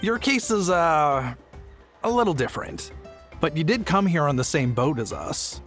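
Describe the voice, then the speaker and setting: A man's voice: a little high, very animated, moderate pace, a bit bright, faint music behind. A man playing an affable, weary merchant in a video game, courteous and explanatory. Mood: uneasy.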